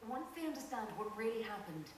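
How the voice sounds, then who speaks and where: A woman playing a commanding elven heroine in a video game, firm, measured and authoritative. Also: a little high, very animated, a little mellow. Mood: stern. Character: commanding elven heroine leading a holy army. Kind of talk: video game cutscene dialogue. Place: game audio re-recorded in a room by camera. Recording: very echoey, distant off-screen capture, hissy.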